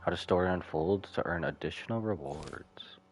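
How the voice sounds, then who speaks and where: Man, deep voice, animated intonation, casual livestream commentary, rambling, music behind. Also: normal speed. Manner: rambling, loosely thinking aloud. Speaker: live game streamer.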